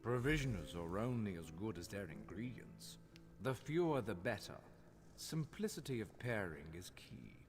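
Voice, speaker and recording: A plain-spoken master cook from a fantasy video game. He teaches in a matter-of-fact way with lively, varied pitch. Music plays clearly behind him. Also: moderate pace, long reverb tail. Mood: instructive. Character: instructive male cook mentor, plain-spoken fantasy tradesman. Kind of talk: video game character acting.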